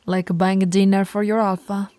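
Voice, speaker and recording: A woman's voice: medium pitch, little pitch movement, a little slow, warm tone, no room sound, close to an everyday voice. A woman voicing a dominant female werewolf pack alpha in an audio roleplay, teasing and commanding, often dropping to a whisper. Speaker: voice actress.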